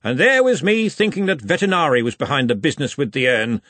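A man's voice: medium pitch, lively intonation, fast, a bit bright, no echo, voice pushed up. A man voicing a pompous older wizard in charge, with an oratorical manner, in a fantasy audiobook. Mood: gloomy.

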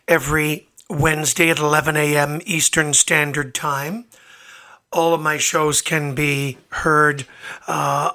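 Slightly raspy, bright-voiced male radio host. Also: a little high. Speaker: radio show and podcast host. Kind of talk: live radio interview, chatty introduction. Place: radio studio. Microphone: studio microphone.

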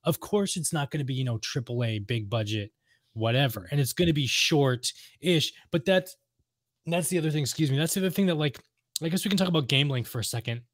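A man's voice: on the high side, lively intonation, brisk, no room sound, studio quality. A man co-hosting a casual podcast chat, rambling with enthusiasm and repeating himself for emphasis. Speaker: podcast co-host discussing video games and lore.

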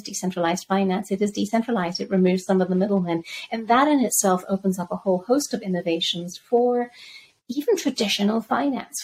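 A middle-aged woman who grew up in Zambia, giving measured, articulate answers as an interview guest. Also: mid-range voice, a little mellow, a little hiss or hum, poor audio. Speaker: guest crypto researcher.

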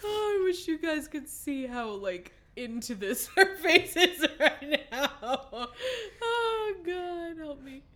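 Female podcast co-host, higher-pitched and very animated, casual, slangy, sweary and playful. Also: slow pace. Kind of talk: casual chat.

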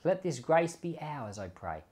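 A man's voice: fairly high pitch, very animated, unhurried, a little mellow. A pastor giving conversational bible commentary and closing in prayer, often repeating words as he thinks aloud, with the odd light chuckle.

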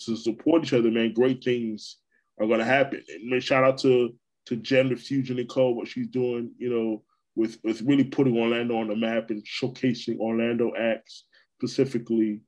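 A man from New York hosting a podcast, talking in a relaxed, casual way with lots of repeats and restarts. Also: mid-range voice. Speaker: podcast host. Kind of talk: casual conversation.

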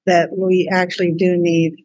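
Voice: mid-range voice, flat delivery, normal speed, darkish tone, no echo. A co-host in a casual Bible study talk, earnest and encouraging, rambling a little.

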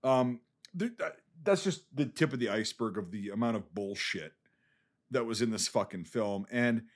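A man's voice: fairly low pitch, lively intonation, normal speed. A man on a film podcast, ranting casually about a movie he disliked, swearing often and restarting his sentences as he goes. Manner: profane, exasperated, rambling with restarts.

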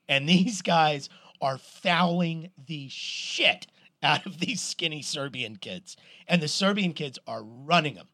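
A middle-aged man co-hosting a podcast, telling stories in an animated, swearing, casual way. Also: fairly high pitch, dry recording. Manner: animated storyteller, swears, restarts a lot. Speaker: podcast co-host. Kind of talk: casual sports chat.

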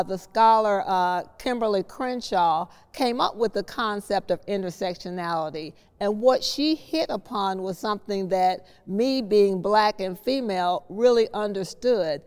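A woman leads a book discussion as host. Her voice is clean and resonant, and she explains things in a measured, deliberate way. The recording carries a long, hall-like reverb. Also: medium pitch, darkish tone, clean tone.

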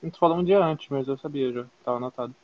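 A man's voice: medium pitch, fast talker. A young Brazilian man co-hosting a podcast in a casual chat with friends. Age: young adult. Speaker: podcast co-host. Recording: dull, noisy voice-chat microphone.

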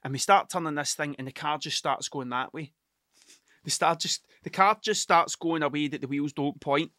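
Sweary Ayrshire Scottish man, bright voice. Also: fairly high pitch, normal speed, no room sound, studio quality. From Ayrshire, Scotland. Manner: sweary, rambling, frequent "do you know what I mean". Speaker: podcast host. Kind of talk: casual storytelling monologue.

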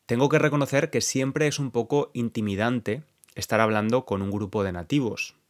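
A young man from Spain with a Spanish accent, hosting a podcast and explaining things clearly and calmly to Spanish learners. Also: medium pitch, normal speed. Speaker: podcast host teaching Spanish. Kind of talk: scripted podcast monologue for learners.

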